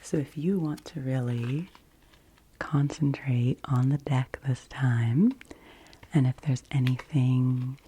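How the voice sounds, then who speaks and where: A woman in her forties whispers softly and gently in an unhurried ASMR style, close to the microphone. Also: extremely low pitch, steady tone, slight background noise. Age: 40s. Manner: soft, gentle, unhurried delivery. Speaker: ASMR host doing an oracle card reading.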